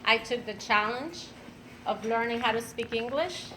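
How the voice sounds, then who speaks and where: A woman, telling her personal story at an event in an unhurried way with fillers and light laughs. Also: a little high, lively intonation, pushed and bright, a little hiss or hum, low-quality recording. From Venezuela. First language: Spanish. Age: 50s. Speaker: speaker at an event. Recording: clattering dishes and cutlery behind.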